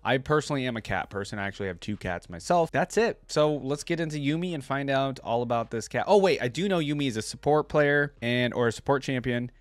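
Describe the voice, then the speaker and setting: A man's voice: mid-range voice, animated, fast talker, hardly any pauses, no room sound. A young man hosting a reaction video, casually commenting and reading lore aloud in an easygoing, conversational way into a close desk microphone. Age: young adult. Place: indoor room at a desk.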